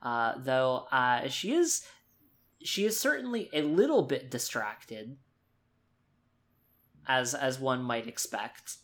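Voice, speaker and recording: Guy in a tabletop rpg, really slow talker, mid pitch, feels his way thru what his character does. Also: sing-song, fairly bright tone. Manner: hesitant, loosely worded, thinking aloud. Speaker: tabletop game player narrating his character. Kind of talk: improvised actual-play game narration.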